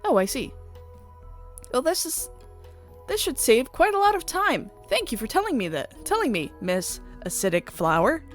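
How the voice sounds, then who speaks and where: A woman's voice: high voice, sing-song, moderate pace, voice pushed up. A woman voicing a courteous, earnest female AI pop idol in a visual novel, with prim, formal phrasing. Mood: apologetic, grateful. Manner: prim, courteous, stilted wording. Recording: music playing behind the voice.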